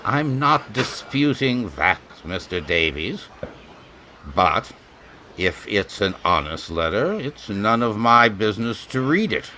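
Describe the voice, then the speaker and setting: A man playing a cold, commanding major in a radio western, speaking formally and with measured authority. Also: lowish voice, big pitch swings, sharp tone, slight background noise, full character voice. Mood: coolly formal. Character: cold, commanding major leading a lynch mob. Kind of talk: old-time radio drama acting.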